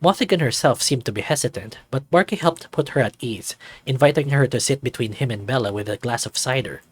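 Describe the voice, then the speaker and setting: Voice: medium pitch, a little fast, breathy edge. A narrator reading a scripted first-person visual novel story.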